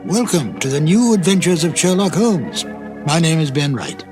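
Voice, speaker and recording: A man hosting a program with scripted narration, dramatic and deliberate like a storyteller, in a slightly husky voice. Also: a little high, big pitch swings, music in the background. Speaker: host introducing old radio episodes.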